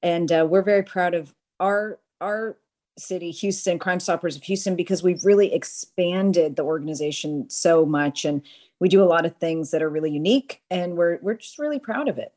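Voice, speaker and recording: A woman in her forties from Boston, a guest on an interview podcast, talking earnestly and with enthusiasm in long run-on stretches and quick restarts. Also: fairly low pitch, mellow. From Boston, USA. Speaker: guest, nonprofit crime-prevention leader.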